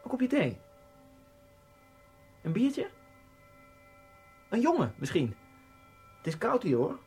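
A man's voice: high-pitched, wildly swooping pitch, slow, darkish tone, faint music behind, exaggerated voice. An actor playing a nervous, fawning immigrant man who rambles in a radio play. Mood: anxious, fawning. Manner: rambling, obsequious, slides into bitter ranting. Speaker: radio drama lead. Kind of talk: radio play acting with inner monologue.

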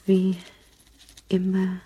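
An actress playing a young wife composing a careful letter in an intimate, husky radio drama monologue. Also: female voice, mid-range voice, completely flat delivery, extremely slow, mellow, slight background noise. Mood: guarded, hushed. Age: young adult. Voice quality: husky, breathy.

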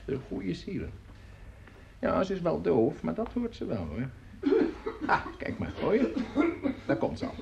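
An actor playing a tippling, blustery music teacher and father in a radio play, rambling and halting as he talks. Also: on the high side, wildly swooping pitch, vocal fry, darkish tone, full character voice. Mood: jovial, excited. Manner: rambling, halting, prone to mutter and swing up. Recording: dull, noisy radio play recording.

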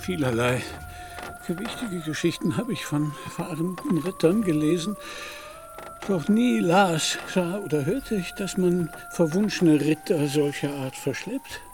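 Old dreamy knight, high voice, archaic phrasing, radio play, noisy background. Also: male voice, animated, unhurried. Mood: musing. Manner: archaic, high-flown speech. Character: deluded elderly knight, lofty and bewildered. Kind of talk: radio drama acting.

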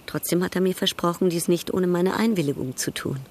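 A woman plays a proud European baroness in a radio drama. Her voice sits on the low side, and she speaks with poise, teasing and coolly ironic, restless under the polish. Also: a little fast, a little mellow, slight background noise, close to an everyday voice. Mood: proud, frank. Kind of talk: German radio drama acting.

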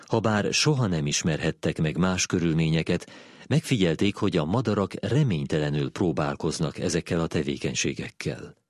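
A man with a low voice narrates a written essay. It is a scripted read, recorded close and dry with very little room sound. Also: animated, dry recording. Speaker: narrator reading a philosophy essay.

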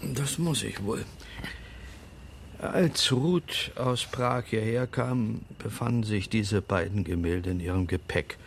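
An actor playing a formal, discreet older man in a radio drama, speaking in measured phrases with a gravelly voice. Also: lowish voice, a little hiss or hum. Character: formal, discreet older male confidant recounting family secrets.

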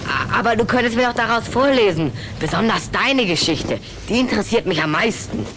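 Eine Frau spricht in einem Kinderhörspiel einen ängstlichen, zögernden Jungen, der stockend widerspricht und doch neugierig ist. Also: female voice, medium pitch, expressive, brisk, noisy background, natural voice. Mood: hesitant, curious. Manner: hesitant, stammering, protesting. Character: timid, reluctant human boy, hesitant but curious. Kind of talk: German radio play acting for children.

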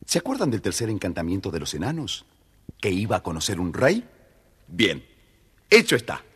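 A man's voice: low voice, wildly swooping pitch, moderate pace, heavy background noise. A man narrating a children's story dramatically, addressing his young listeners directly.

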